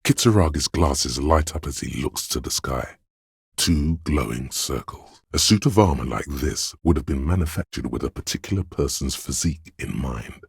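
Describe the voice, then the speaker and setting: A man voicing a detective's analytical inner voice in a video game, narrating in a deliberate, precise and literary way. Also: animated, no echo, studio quality, heavily acted. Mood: analytical, observant. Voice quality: very deep, gravelly, husky. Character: inner voice of a detective's spatial reasoning, measured and analytical. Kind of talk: scripted game narration in second person.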